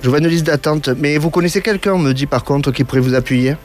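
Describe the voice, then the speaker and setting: Guy on a call-in radio show, kinda high voice, lots of ums and restarts, reassuring, music under it. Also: quick pace, a little mellow. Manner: hesitant, many fillers and restarts, reassuring. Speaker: radio clairvoyant host taking calls. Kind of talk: call-in consultation.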